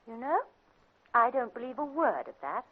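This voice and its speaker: Animated, high British secretary. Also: female voice, moderate pace, breathy edge. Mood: sceptical. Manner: direct, questioning. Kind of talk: classic British radio drama acting. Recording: band-limited telephone-like vintage radio recording.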